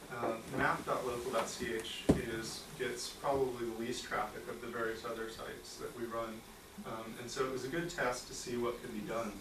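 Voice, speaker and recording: A man from the United States giving a relaxed, chatty talk at a tech meetup, with fillers and small laughs. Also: medium pitch. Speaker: conference speaker. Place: meeting room at a tech office. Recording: echoey room, distant mic, noisy.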